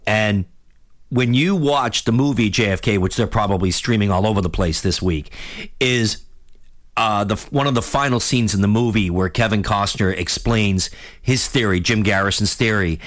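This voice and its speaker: A man of fifty hosting a radio talk show, giving a blunt, rambling opinion monologue with many restarts, his voice getting hoarse. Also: fairly low pitch, fairly bright tone. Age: 50s. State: losing his voice.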